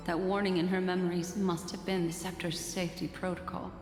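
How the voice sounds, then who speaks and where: Lowish, composed woman quest companion actress, echoey. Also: level tone, fairly quick, lightly acted. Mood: pensive, questioning. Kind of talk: video game story voice acting. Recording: echoey hall reverb under clear music.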